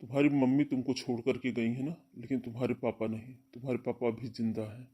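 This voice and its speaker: Man as ageing father, gentle, yielding, mellow raspy tone, cartoon melodrama. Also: medium pitch, little pitch movement, quick pace, highs cut off, lightly acted. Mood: soothing. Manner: soothing, yielding. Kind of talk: Hindi cartoon family melodrama.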